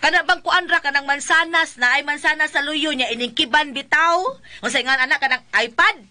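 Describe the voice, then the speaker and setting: A woman's voice: sits high, normal speed, pushed and bright. A woman playing a vain, chatty, boastful wife in a radio drama, with a Cebuano Filipino accent and a gushing, rambling manner. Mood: gushing, showy. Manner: gushing, rambling, full of exclamations.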